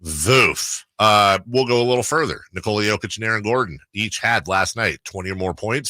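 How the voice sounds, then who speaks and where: Animated, bright-toned sports radio host, a man. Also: medium pitch, no echo. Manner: animated, excitable, quick stat rundowns.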